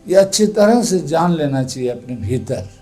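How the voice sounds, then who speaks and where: A man leads a guided meditation as a teacher, speaking in a calm, deliberate, instructive manner. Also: on the high side, faint music behind.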